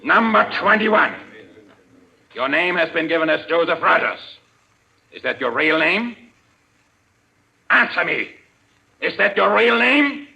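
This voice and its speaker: An actor playing the commanding, menacing leader of a secret criminal society, with formal, deliberate speech in an old radio thriller. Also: male voice, sits high, animated, vocal fry, bright, forceful tone, exaggerated voice. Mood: harsh, commanding. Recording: dull, lo-fi radio drama recording.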